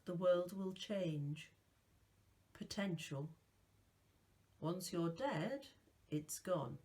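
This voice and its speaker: A woman voicing a velvet-voiced undead guardian. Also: lowish voice. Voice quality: soft, velvety. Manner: measured, grave, unhurried. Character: calm ageless male guardian, not alive.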